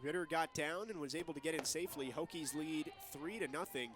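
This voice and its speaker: A young man doing TV play-by-play commentary of a softball game. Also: on the high side, fairly quick, over music. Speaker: play-by-play announcer.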